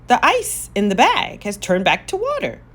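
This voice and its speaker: Woman reading a plucky, lively storybook villager. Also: on the high side, normal speed, pitched above the performer's own voice, heavily acted. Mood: excited. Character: plucky, earnest storybook villagers Jake and Pepper. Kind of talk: children's storybook character voice.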